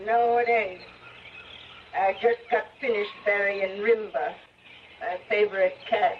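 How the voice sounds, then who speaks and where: A woman playing an old backwoods granny in a radio drama, feisty and sly, with folksy, halting rural talk. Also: mid-range voice, fairly even intonation, lightly acted. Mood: indignant. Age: older. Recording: dull, noisy old radio recording.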